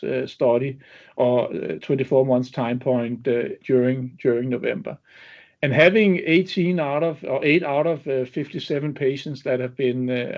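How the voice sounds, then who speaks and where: A male executive delivers a formal presentation of clinical results for an investor webinar. His voice sits at a medium pitch and his delivery is halting, broken up by frequent ums. The audio is dull and band-limited, as on an online call. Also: a little mellow. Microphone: webinar call connection.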